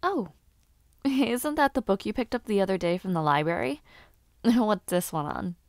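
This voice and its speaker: A young woman playing a lively noblewoman and aspiring knight in a fantasy audio roleplay, chatting to the listener in a teasing, expressive, conversational way. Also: medium pitch, a little slow, dry recording, clean studio sound. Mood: curious, teasing.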